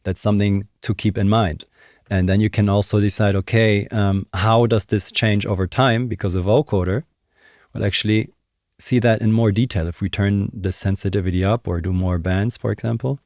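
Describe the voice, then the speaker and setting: A man's voice: lowish voice, fairly even intonation, moderate pace, darkish tone, dry recording. A young man giving a casual tutorial, thinking aloud in a conversational, explanatory way into a close microphone. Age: young adult. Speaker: music production tutor. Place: small indoor home studio. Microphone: close desk microphone, headphones on. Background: music and drum samples.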